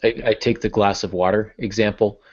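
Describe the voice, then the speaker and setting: A man's voice: lowish voice, fairly even intonation, fairly quick, darkish tone. A man hosting a podcast, teaching in a casual, conversational style. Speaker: podcast host, writing teacher. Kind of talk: casual instructional talk. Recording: dull lo-fi podcast audio.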